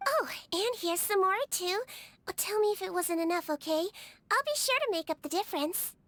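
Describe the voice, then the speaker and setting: A woman voicing a small, cheerful, caring melusine nurse girl in a video game, with a sweet, polite and gently quirky manner. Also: extremely high pitch, lively intonation, moderate pace, dry recording. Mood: chatty, helpful. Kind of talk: animated video game character voice acting.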